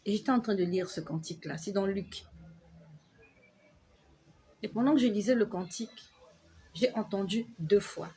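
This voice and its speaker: A woman giving an earnest devotional exhortation to her viewers, repeating phrases slowly and letting her voice sink soft at the ends of lines. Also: medium pitch, a little hiss or hum. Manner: earnest, repetitive, slow emphatic phrasing. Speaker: online preacher.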